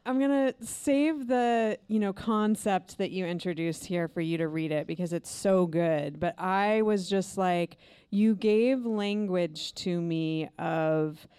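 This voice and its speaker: A woman's voice: lowish voice, slow, warm tone, clear, resonant tone, dry recording. A woman co-hosting a podcast, chatting effusively with many fillers. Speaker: podcast co-host. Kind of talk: casual chat.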